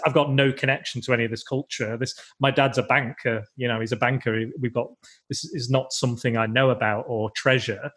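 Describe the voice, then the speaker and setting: Male co-host, mid-pitched, casual and rambling. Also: fairly even intonation, brisk, fairly bright tone. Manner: rambling, many restarts and ums. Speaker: podcast co-host. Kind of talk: casual film chat.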